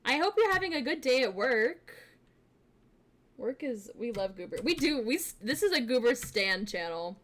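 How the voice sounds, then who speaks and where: A young woman streamer chatting casually with her viewers, bubbly and giggly, with lots of fillers, switching between quiet asides and excited greetings. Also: moderate pace. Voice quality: fairly deep voice for a woman. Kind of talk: casual live-stream chat.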